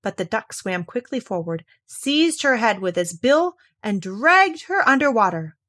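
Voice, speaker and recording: A woman reading a fairy tale aloud as scripted narration, in a measured, expressive storytelling manner. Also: a little high, dry recording, studio quality.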